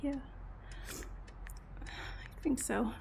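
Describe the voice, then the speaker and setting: A woman acting an earnest, wounded female game master in an audio drama, speaking with deliberate, emotive delivery. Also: a little mellow, slight background noise. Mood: subdued, resolute. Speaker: voice actor in an amateur audio drama.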